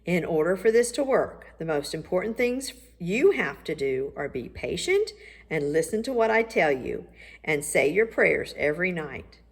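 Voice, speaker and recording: Woman playing a reassuring, gently firm storybook mama. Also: lowish voice, big pitch swings, moderate pace, breathy edge, heavily acted. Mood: gently instructive. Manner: reassuring, instructive. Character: loving, reassuring mother, patient but gently firm. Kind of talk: children's storybook read-aloud.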